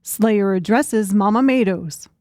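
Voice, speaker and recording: A woman's voice: mid-range voice, takes it slowly, a little mellow, no echo. A female audiobook narrator with a mid-range voice. She reads scripted prose in a very dry, close recording with no audible room.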